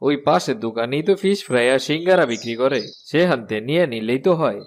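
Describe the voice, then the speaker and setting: A man doing a pushy low-voiced stall owner. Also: lively intonation, quick pace, slight background noise, lightly acted. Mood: coaxing. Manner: coaxing, persuasive. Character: pushy male rival food stall owner.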